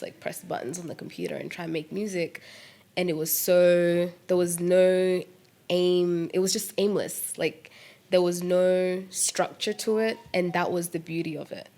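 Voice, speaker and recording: A young woman musician chatting in an interview about making her music, lively and laughing, with lots of "like" and "um". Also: fairly low pitch. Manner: animated, laughing, fillers like "like" and "um". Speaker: musician and producer guest. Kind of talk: casual interview chat.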